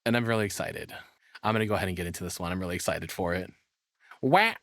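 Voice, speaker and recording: A man's voice: deep voice, fast, a bit bright, no echo, clean studio sound. A man giving casual, enthusiastic, rambling live reaction commentary as a streamer.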